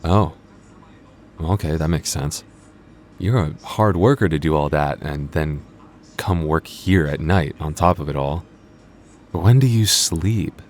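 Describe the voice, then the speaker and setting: Guy acting as a super deep voiced young vampire coworker, chill n teasing, kinda stammery, asmr roleplay, noisy background. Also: slow, pauses often. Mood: sincere, friendly. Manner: hesitant, stammering, dryly teasing. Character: teasing, laid-back young male vampire convenience-store night-shift coworker. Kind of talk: ASMR roleplay, intimate casual dialogue.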